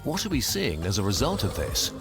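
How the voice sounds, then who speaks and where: A man giving scripted explainer narration with a lively, clear delivery. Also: on the low side, fairly quick. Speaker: educational video narrator. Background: background music.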